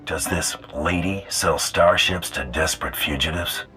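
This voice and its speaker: A man playing a tough bounty hunter in a video game, speaking in terse, deadpan, coolly threatening lines. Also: extremely low pitch, unhurried, soft background music, heavily acted. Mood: dry, businesslike.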